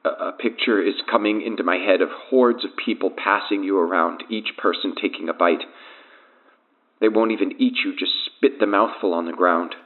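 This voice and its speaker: A man's voice: low-pitched, fairly even intonation, moderate pace. An actor playing a doomed, guilt-ridden man enslaved by an evil power, confessing fatalistically in an audio horror drama. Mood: quiet, resigned. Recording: tinny, band-limited phone line.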